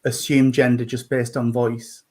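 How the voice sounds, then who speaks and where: A man, a trustee, talking slowly and hesitantly in a casual group chat, with many ums and restarts. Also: medium pitch, little pitch movement, a little mellow. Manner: slow, hesitant, with many fillers. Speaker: trustee on a panel.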